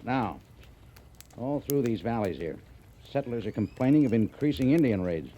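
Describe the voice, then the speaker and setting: An actor playing a brisk, skeptical cavalry major in a 1950s radio western, with an officious, dismissive manner. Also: male voice, medium pitch, lively intonation, warm tone, natural voice. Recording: old radio recording with background noise.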